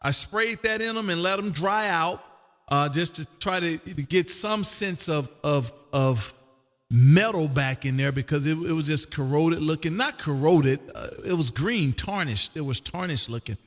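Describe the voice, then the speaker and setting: A loud, folksy man with a slightly high voice, chatting as a ham radio operator. He is exuberant and tends to repeat himself. The sound carries a long, roomy reverb. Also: animated, measured pace, soft background music. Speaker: amateur radio operator hosting a live stream. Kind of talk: casual ham radio chat. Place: home radio room.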